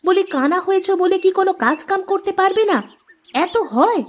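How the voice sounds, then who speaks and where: A woman playing a high-pitched melodramatic village wife. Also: a little fast. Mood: angry scolding. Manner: emphatic, dramatic exclaiming. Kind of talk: Bengali cartoon melodrama. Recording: dull lo-fi audio with faint noise.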